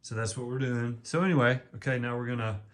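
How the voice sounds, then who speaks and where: A deep-voiced man from East Texas talks in a loose, folksy ramble. He fills his pauses with ums and uhs as he explains a home project. His pitch sits right in the middle for a man. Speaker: vlogger. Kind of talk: casual home how-to video.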